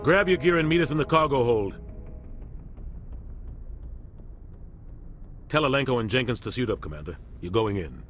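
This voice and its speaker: An actor playing a commanding middle-aged warship captain in a sci-fi game, giving clipped military orders with grave authority. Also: male voice, mid-range voice, animated, fast talker, fairly bright tone, soft background music, close to an everyday voice. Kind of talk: cinematic sci-fi game dialogue.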